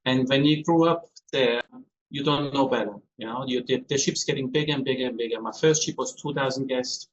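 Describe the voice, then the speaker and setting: A man's voice: medium pitch, brisk. A man in his fifties from Austria, a cruise hotel manager answering interview questions in a chatty way, calling in from a ship. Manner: chatty, with some non-native phrasing. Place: on board a ship, remote call. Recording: slightly dull call audio with faint noise.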